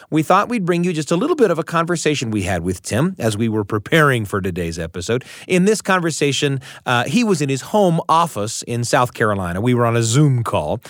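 A man's voice: mid-range voice, dry recording, studio quality. A man hosting a radio storytelling show, speaking in a scripted, conversational presenter style.

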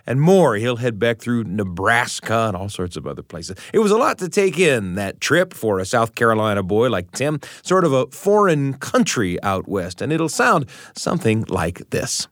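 A male radio host delivers a conversational scripted introduction. His mid-pitched voice is lively and varied, with emphatic storyteller phrasing, recorded dry in a studio. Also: moderate pace, hardly any pauses, no room sound.

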